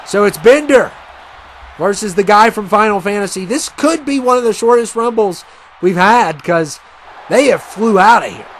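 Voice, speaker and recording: A man casually commentating a wrestling video game match, rambling and chatty with many fillers, and he says he has a loud voice. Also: high voice, lively intonation, normal speed, a little hiss or hum. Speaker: gaming commentator.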